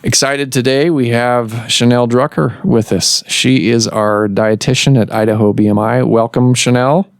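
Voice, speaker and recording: A man hosting a medical podcast as a doctor, explaining in a measured way with some fillers, recorded in a clinic office. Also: mid-range voice, steady tone, gravelly, dry recording, clean studio sound.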